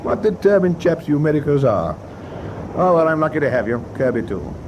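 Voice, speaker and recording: Wry, weary British naval captain. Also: male voice, on the high side, big pitch swings, fairly quick, noisy background. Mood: wry, relenting. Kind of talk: wartime radio drama acting. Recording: clear ship ambience behind voice.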